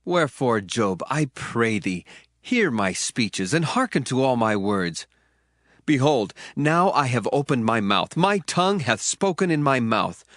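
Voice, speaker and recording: A man's voice: medium pitch, big pitch swings, measured pace, fairly bright tone, no room sound. A man voicing Elihu, an indignant young man eager to speak, in an earnest dramatized scripture reading with rising emphasis. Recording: dry voice, faint music underneath.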